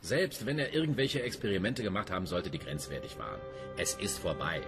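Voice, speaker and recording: A patronizing police inspector in a radio play. His deep voice moves in animated, dry, soothing turns, with creaky fry at the edges. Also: fairly quick, music in the background. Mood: placating. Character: sceptical, patronizing male police inspector, calmly dismissive. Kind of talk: radio drama dialogue.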